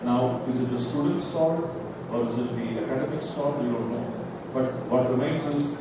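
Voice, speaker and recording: A middle-aged man with an Indian accent moderating a panel discussion, speaking off the cuff in a halting, conversational way with rhetorical questions. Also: mid-range voice. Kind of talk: unscripted panel discussion at a conference. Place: large conference hall. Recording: very echoey hall, distant mic, heavy noise.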